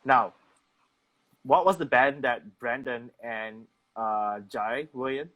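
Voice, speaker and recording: A man's voice: medium pitch, takes it slowly, a bit bright, lo-fi sound. A man hosting a casual interview, rambling through long questions full of "like". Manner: rambling, many "like" fillers. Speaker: host.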